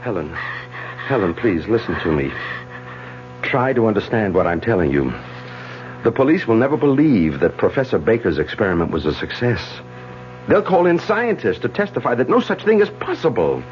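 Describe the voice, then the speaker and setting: A man's voice: fairly low pitch, big pitch swings, moderate pace, slight background noise. An actor playing Philip, a man who coaxes and then solemnly persuades, in 1940s radio-drama style. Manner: urgent, coaxing, then solemn. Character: man named Philip, anxious and controlling. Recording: dull old radio broadcast with music.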